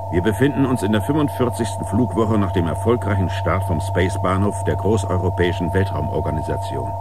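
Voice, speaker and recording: A male actor as a businesslike spaceship commander in a science-fiction radio play. He gives a formal shipboard announcement in a lowish voice. Loud noise hums behind him. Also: close to an everyday voice. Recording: very noisy, humming shipboard background.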